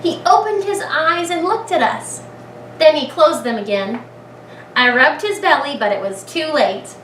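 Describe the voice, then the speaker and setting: A woman reading a picture book aloud to young children as a kindergarten teacher, expressively, with dramatic pauses and thinking aloud as she asks the listeners questions. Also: high-pitched, animated, a bit bright. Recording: echoey room, distant mic, clear background noise.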